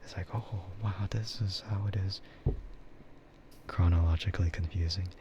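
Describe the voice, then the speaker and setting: A man streaming in a soft ASMR chat style, rambling and easygoing, hesitating and often slipping into a whisper. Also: deep voice, fairly even intonation, normal speed. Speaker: VTuber streamer. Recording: muffled lo-fi audio with clear noise.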